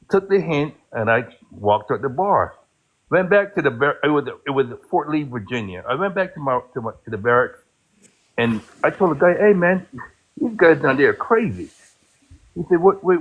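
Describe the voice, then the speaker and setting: A man in his fifties from Barbados, a military veteran, telling a story casually in a halting way. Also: on the high side, breathy edge, low-quality recording. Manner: halting, many fillers and restarts while telling a story.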